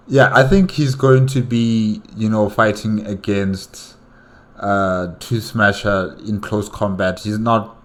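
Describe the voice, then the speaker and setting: A man giving casual, unscripted reaction commentary as host, speaking haltingly and often repeating himself. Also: on the low side.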